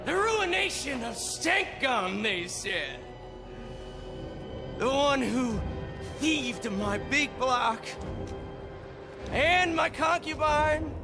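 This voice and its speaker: Manic video game villain, very high-pitched, wildly swooping, theatrical taunts, sadistic, loud music behind. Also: male voice, sing-song, heavily acted. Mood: gloating, taunting. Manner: cackling, theatrical, taunting, drawn-out shouting. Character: manic, sadistic wasteland villain, gloating over cruelty.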